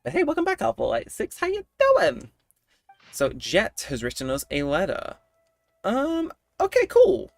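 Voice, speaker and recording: Young man, streamer, animated rambling chat, self-mocking, dry close sound. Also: sits high, unhurried, no echo. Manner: rambling, self-deprecating, chatty with viewers. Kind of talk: casual livestream chat while gaming.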